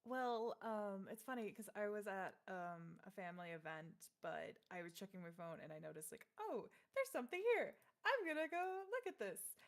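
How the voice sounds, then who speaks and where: A woman's voice: medium pitch, lively intonation, moderate pace, hardly any pauses. A woman voice actor telling an amused story in a casual livestream Q&A. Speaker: voice actor guest.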